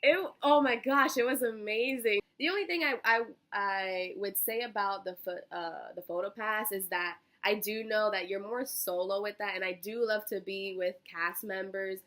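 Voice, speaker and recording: Young American woman, early twenties, bright forward voice, effusive and chatty, as an eager interview candidate. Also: medium pitch, moderate pace, few pauses. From Miami, Florida, United States. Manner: bubbly, effusive, giggly, chatty. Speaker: job interview candidate, college student. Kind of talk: casual phone interview answers.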